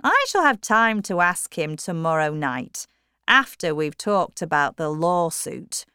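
A woman voicing a stubborn middle-aged miller, speaking louder and more forcefully than her narration. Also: on the low side, sing-song, a little slow, smooth, dry recording, clean studio sound, exaggerated voice. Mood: musing. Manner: forceful, emphatic, raised voice.

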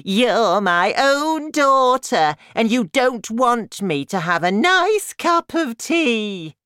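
Woman doing old storybook grandmother, bossy, demanding, indignant scold, animated pitch, dry close sound. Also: slow pace, clear, resonant tone, no room sound, full character voice.

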